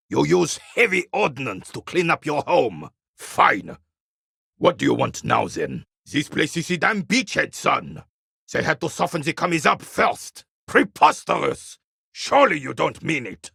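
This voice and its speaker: An actor playing a bitter, proud royalist war veteran in his eighties in a video game, with a rasping, weathered voice and a haughty, formal military way of talking. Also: medium pitch, pushed and bright, no room sound, studio quality, heavily acted. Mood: indignant, then weary disdain. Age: 80s. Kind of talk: video game character dialogue.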